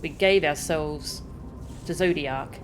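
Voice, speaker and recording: A game streamer voicing a solemn, mournful ancient survivor from a fantasy game, speaking gravely like an incantation. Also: mid-range voice, takes it slowly, background noise, close to an everyday voice. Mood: reverent. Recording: game music behind the voice.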